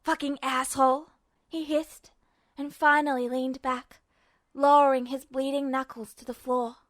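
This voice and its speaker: A woman's voice: high voice, level tone, unhurried, no room sound. A woman reading scripted fiction as an audiobook-style narrator. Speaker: podfic narrator.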